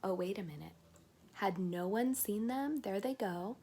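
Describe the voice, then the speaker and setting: A woman reading a picture-filled chapter book aloud to young children, expressive and pausing to put questions to her listeners. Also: mid-range voice.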